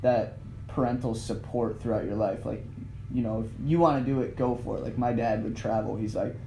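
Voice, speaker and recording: A man's voice: mid-range voice, fairly even intonation, fairly quick, gravelly, mellow. A man talking candidly as a podcast guest, emphatic and casual, swearing now and then. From United States. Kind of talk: casual conversation. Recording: echoey room with faint noise.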